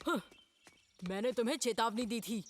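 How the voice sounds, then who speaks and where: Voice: mid-range voice, normal speed, background noise, close to an everyday voice. A performer voicing a plucky, confident young boy hero in a cartoon dub, bold and earnest. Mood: defiant. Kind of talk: animated cartoon dub acting. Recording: music behind the voice.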